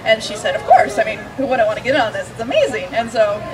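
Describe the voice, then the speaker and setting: A woman speaking loudly and enthusiastically on a panel at an outdoor book fair, holding the microphone away from her face. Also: fairly high pitch, expressive, fast. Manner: loud, enthusiastic. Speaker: comics artist, panelist. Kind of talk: panel discussion answer. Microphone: handheld microphone held away from face. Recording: distant mic outdoors with crowd. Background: crowd noise of an outdoor fair.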